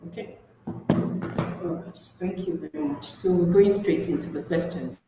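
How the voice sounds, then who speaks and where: A woman's voice: lowish voice, very animated, measured pace, vocal fry. A woman with a Nigerian accent hosting a live interview in a conversational style. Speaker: interviewer and host. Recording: very echoey room, faint noise.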